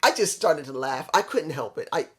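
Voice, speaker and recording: Man, fairly high voice, casual show reviewer, rambling, self-correcting, trips over names. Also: expressive, moderate pace, fairly bright tone, slightly rough. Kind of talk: casual solo review talk.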